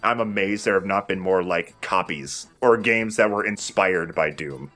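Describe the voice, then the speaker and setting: Low-voiced man commentating over game music. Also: normal speed, a bit bright. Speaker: let's play host and commentator. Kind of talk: casual video game commentary.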